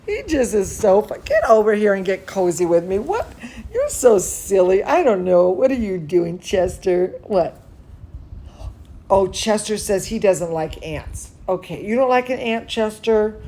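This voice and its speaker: A woman telling bedtime stories and chatting cozily to young children in an expressive, soothing, singsong manner. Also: medium pitch, a little slow. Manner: chats playfully to a pet or companion. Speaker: dance studio teacher reading bedtime stories to children. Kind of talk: children's bedtime storytelling and cozy chat.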